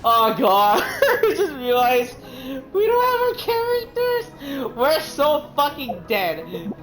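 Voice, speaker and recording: A woman's voice: high-pitched, wildly swooping pitch, takes it slowly, over music, poor audio. A woman streaming a video game, rambling in casual commentary, swearing and laughing often.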